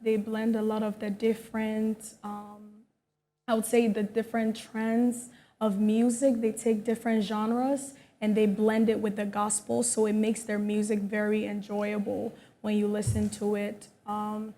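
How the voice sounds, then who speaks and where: A US-born woman, a gospel singer, answering interview questions in a measured, steady way in a studio. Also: medium pitch. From United States. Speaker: gospel musician guest.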